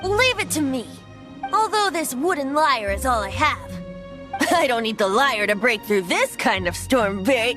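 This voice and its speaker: A playful young bard god speaks in a high boyish voice with lively swoops. The manner is theatrical and coy, in fantasy game style, with music underneath. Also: female voice, moderate pace. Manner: theatrical, coy, flowery phrasing. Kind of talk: video game voice acting.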